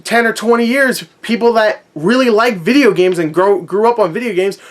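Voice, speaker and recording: A man's voice: high voice, normal speed. A man giving a casual, opinionated rant in a sarcastic, sweary, conversational way. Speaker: movie review vlogger.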